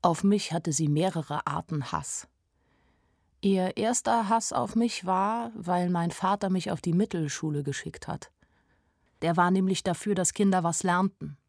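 A woman's voice: fairly low pitch, dry recording, clean studio sound. An actress playing a weary, frank young woman who narrates her life in a radio play.

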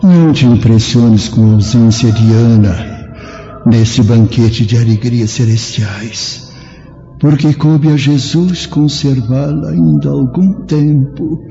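An actor with a Brazilian accent playing a wise, consoling old apostle in a radio drama, speaking with reverent gravity. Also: male voice, mid-range voice, vocal fry, warm tone. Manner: slow, reverent, preaching. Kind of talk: solemn radio drama acting. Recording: dull, reverberant radio drama over music.

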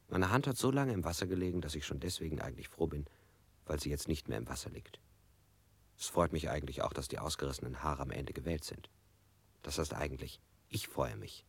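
An actor playing a dazed man under interrogation, who answers in detached, halting fragments. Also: very deep voice, breathy edge, no room sound, full character voice. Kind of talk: radio drama acting.

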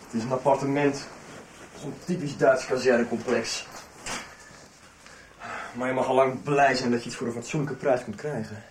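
A man's voice: medium pitch, fairly even intonation, moderate pace, long pauses, lightly acted. An actor playing an easygoing, hospitable man in a radio soap, casual and matter-of-fact. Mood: easygoing, practical. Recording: background noise, room ambience.

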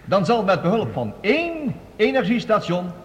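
An actor playing a confident, visionary scientist, giving a formal, eloquent declamatory address in a radio drama. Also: male voice, high-pitched, huge pitch swings, normal speed, slight background noise. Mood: assured, explanatory. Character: visionary, confident male scientist presenting a bold Mars plan. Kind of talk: Dutch radio drama acting, formal speech. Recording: long reverberant hall.

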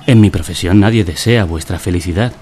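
An actor playing a cynical, provocative adman in a satirical radio drama, speaking in a deliberate, declamatory way. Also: sits low, a little mellow, slight background noise, lightly acted. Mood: cynical, confiding. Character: cynical, self-confessed adman narrator, coolly provocative. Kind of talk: radio drama monologue and parody ad voice-over.

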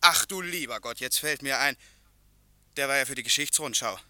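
Casting: print foreman, defensive, tense bright tone. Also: medium pitch, normal speed. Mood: flustered. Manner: matter-of-fact, then flustered. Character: harried male print-shop foreman, defensive.

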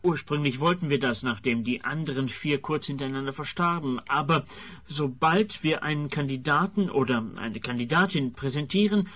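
Pompous, husky sociologist played by a man. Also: mid-range voice, fairly bright tone, lightly acted. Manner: pompous, emphatic. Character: smug, jargon-loving male sociologist, blithely callous. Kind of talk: radio comedy acting.

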